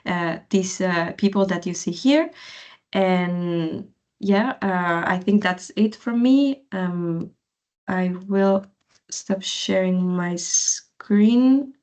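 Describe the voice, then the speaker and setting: A woman's voice: on the low side, slow pace, darkish tone. A woman organizing and moderating an online info session, speaking hesitantly with many fillers. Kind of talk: online presentation. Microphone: video call.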